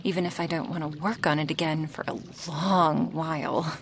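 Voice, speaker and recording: A woman voicing an affectionate female dragon who brews potions, in a soft, intimate ASMR roleplay with breathy half-whispers and sighs. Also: sits low, fairly even intonation, moderate pace, a little hiss or hum. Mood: relieved. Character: affectionate female dragon, a potion maker tending her human guest.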